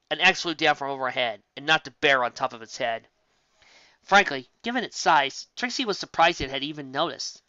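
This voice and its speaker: A man narrating a fan fiction story in a steady reading manner. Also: mid-range voice, clean tone, no room sound. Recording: dry, dull lo-fi home recording.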